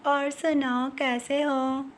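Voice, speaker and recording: A woman with a high voice makes casual small talk, as if on a phone call. Her intonation stays very flat and even. She keeps to short acknowledgements and simple, everyday questions. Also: extremely slow, slight background noise.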